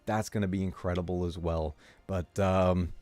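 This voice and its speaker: A man's voice: low voice, steady tone, moderate pace, a little mellow, dry recording. A male gaming streamer chatting casually to his viewers, full of ums and uhs, with a sore throat and a fading voice. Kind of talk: casual live-stream chat.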